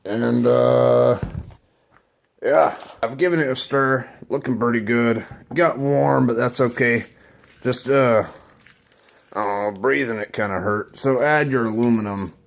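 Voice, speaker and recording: Very creaky-voiced man, casual and halting. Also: medium pitch. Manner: halting, rambling, unsure, trailing off. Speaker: host of a DIY video. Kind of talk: casual, improvised how-to demonstration.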